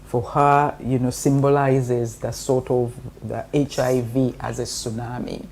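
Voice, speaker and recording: Very deep-voiced woman, measured. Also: level tone, a little mellow, a little hiss or hum. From Uganda. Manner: measured, explanatory, many fillers. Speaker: guest, co-author. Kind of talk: interview answers.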